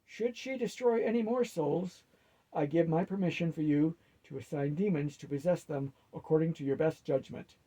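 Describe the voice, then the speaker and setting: A commanding lord of the dead performed by a man reading a storybook. He speaks loudly and deliberately with full authority, in a raised, husky voice. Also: fairly high pitch, natural voice, poor audio. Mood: stern, authoritative. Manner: authoritative, loud, deliberate pronouncements.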